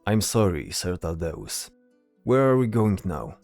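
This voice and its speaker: Man acting a low-voiced, weary soldier. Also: very animated, unhurried, darkish tone, no echo, faint music behind, heavily acted. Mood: earnest, uneasy. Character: cautious, weary male soldier with dry patience. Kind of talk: fantasy audio drama acting.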